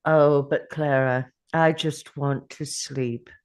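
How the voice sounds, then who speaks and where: A man playing a weary, grieving time traveller who muses slowly to himself in a script table read. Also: a little high, fairly even intonation, clear, resonant tone.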